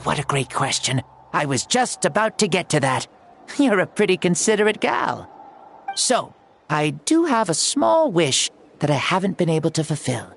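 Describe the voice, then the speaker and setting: A lively, theatrical ancient warrior spirit. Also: medium pitch, vocal fry. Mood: cheeky, boastful. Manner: playful, theatrical, old-fashioned turns of phrase. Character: ancient fire-god spirit in a young boy's body, jaunty, grandiose warrior. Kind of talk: video game character acting. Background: music under the voice.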